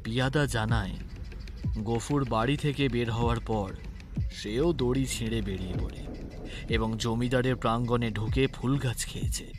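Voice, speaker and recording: A man's voice: medium pitch, normal speed, music in the background. A man narrating a scripted story in a dramatic style.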